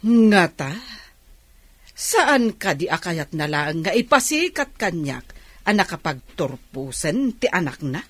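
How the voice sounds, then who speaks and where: Haughty, resentful radio drama aunt, Ilocano accent, with husky tone and big pitch swings. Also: female voice, very animated, slow pace, no echo, full character voice. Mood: haughty, sarcastic. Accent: Filipino, Ilocano. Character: resentful, proud, sarcastic aunt.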